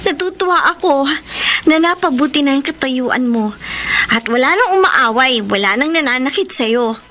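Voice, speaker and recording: A woman's voice: sits high, expressive, moderate pace, bright, forceful tone, slight background noise. A woman playing a caring, spirited older-sister figure in a Filipino radio drama, speaking emphatically and protectively.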